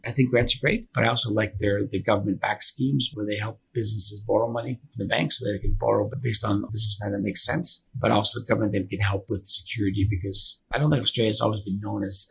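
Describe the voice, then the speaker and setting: A man's voice: fairly low pitch, vocal fry, fairly bright tone, slightly rough, low-quality recording. A man speaking as a company founder in a conversational interview, earnestly explaining his opinions at length. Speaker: business founder and director being interviewed.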